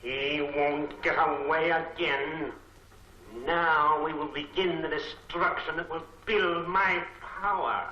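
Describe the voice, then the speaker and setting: Sharp, echoing cold crime lord. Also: male voice, on the high side, fairly even intonation, highs cut off. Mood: menacing. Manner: commanding, menacing, deliberate delivery. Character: cold, imperious unseen male crime lord commanding his henchmen. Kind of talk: 1940s film serial acting. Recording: echoey, faintly noisy 1940s film soundtrack.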